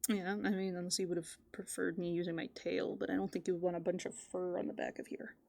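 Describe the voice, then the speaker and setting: A woman playing a playful, easygoing raccoon in an ASMR roleplay, soft-spoken and casual, often dropping into whispers. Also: on the low side, flat delivery, fast, gravelly. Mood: gentle, casual. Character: playful, easygoing female raccoon living in a dumpster. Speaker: ASMR host.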